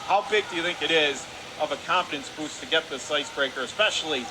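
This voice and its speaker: A man's voice: fairly high pitch, fast talker. A man doing live radio play-by-play commentary of an ice hockey game, in clipped running phrases. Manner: clipped running phrases, calling action as it happens. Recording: clear steady arena noise behind voice. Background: hockey arena ambience.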